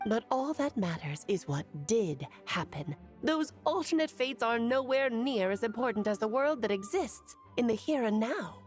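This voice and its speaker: A woman voicing an ageless, cheerful and wise witch who speaks in a lilting, gently teasing way. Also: fairly high pitch, expressive, moderate pace, few pauses, soft background music, lightly acted. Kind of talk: video game voice acting.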